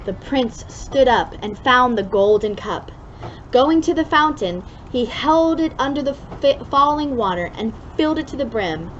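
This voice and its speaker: A woman reading a children's story aloud expressively, drawing out key words with deliberate emphasis. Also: high-pitched, expressive. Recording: dull lo-fi sound with clear background noise.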